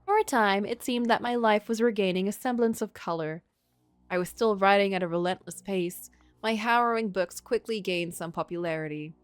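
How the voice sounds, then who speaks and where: A woman's voice: medium pitch, dry recording, natural voice. A woman voicing a tormented, self-loathing middle-aged male writer in a brooding, melodramatic literary game monologue. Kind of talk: dramatic first-person reading of game story.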